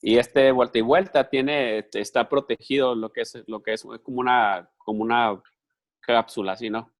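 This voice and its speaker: A man interprets a speaker's talk live, phrase by phrase. He stays loose and conversational, repeating words and restarting as he goes. His voice sits at a middle pitch. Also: steady tone, moderate pace.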